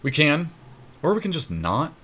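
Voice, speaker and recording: A man co-hosting a podcast, casually commentating on a game and thinking out loud as he reasons through each move. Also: on the high side, very animated, normal speed, a little hiss or hum. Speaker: podcast co-host.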